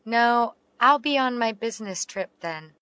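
Female, slow deliberate delivery, clear diction, dry studio sound. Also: on the high side, expressive, bright, forceful tone, no echo. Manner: slow and carefully enunciated. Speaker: English teacher reading dialogue. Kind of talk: slow read-aloud English practice phrases.

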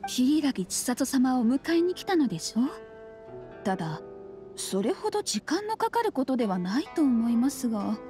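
A woman's voice: high voice, very animated, moderate pace, dark tone, faint music behind. An actress playing a cautious, proper young townswoman in an anime game, polite and wary.

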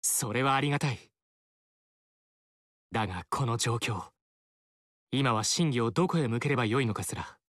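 An earnest young warlord in a video game, righteous and resolute, with formal phrasing. His pitch rises and falls expressively, recorded dry and close. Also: expressive, normal speed, long pauses, a bit bright, no echo. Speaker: game character. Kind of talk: game dialogue acting.

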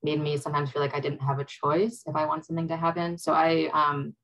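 Brief: young woman panelist on a video call, low flat gravelly voice, halting and thoughtful. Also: rough recording. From Wisconsin, USA. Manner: hesitant, reflective, many "um"s. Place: home room.

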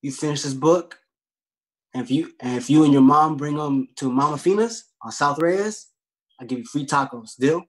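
A man's voice: fairly high pitch, normal speed, natural voice, low-quality recording. A man in his thirties playing a kindly, eager young taco shop worker in a casual, slangy, friendly table read. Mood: friendly, encouraging. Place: room at home, video call.

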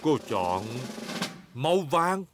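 A man's voice: on the high side, very animated, slow pace. A man voicing a jolly, showy father pig who plays stage magician in a dubbed children's cartoon, with music behind. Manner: theatrical, showy.

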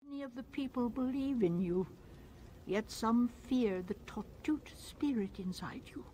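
A woman playing a serene, wise alien spiritual guide in a video game, speaking softly and solemnly in formal phrases. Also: a little high, expressive. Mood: serene, hushed. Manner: slow, soft, formal. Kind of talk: video game character acting. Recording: faint background noise.

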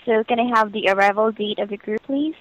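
A woman's voice: medium pitch, a little fast. A woman working as a hotel booking agent, speaking politely and patiently in formulaic customer-service phrases over the phone. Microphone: telephone line. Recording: muffled lo-fi phone call.

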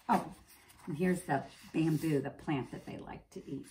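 An American woman, a middle-aged preschool teacher, reading a picture book aloud to young children and pausing to explain things simply. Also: deep voice, warm tone, slight background noise. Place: indoors, classroom.

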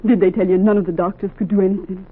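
An actress playing a bitter, despairing wife, sharp and defiant, in 1940s radio style. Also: female voice, mid-range voice, steady tone, very fast, husky, narrow, tinny audio, lightly acted. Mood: hostile, despairing. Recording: thin, noisy 1940s radio recording.

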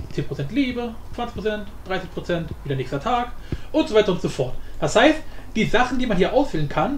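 High-pitched man, lively casual tutorial host. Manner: informal, improvised, thinking aloud. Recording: roomy echo, faint background noise.

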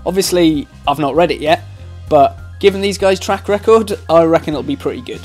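A man's voice: a little high, a little fast, a little mellow. A man hosting a podcast, speaking solo in a conversational outro style. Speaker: podcast host. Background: guitar music.

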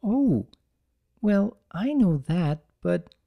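Timid, apologetic young mare for a storybook performed by a man: high, stammering, swooping delivery. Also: big pitch swings, very slow, vocal fry, mellow, full character voice. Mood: meek, hesitant. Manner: hesitant, stammering. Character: timid, sweet young female pegasus pony, anxious and apologetic.

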